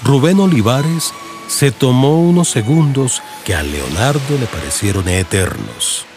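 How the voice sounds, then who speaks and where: A man's voice: mid-range voice, expressive, measured pace. A man with a Colombian accent giving dramatic, scripted narration for an audiobook in radio soap style. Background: music.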